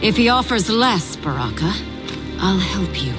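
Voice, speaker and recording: A woman's voice: mid-range voice, very animated, a little slow, loud music behind the voice. A woman playing a noble, resolute warrior princess in a video game, speaking in earnest declarations. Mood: determined. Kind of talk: video game cinematic acting.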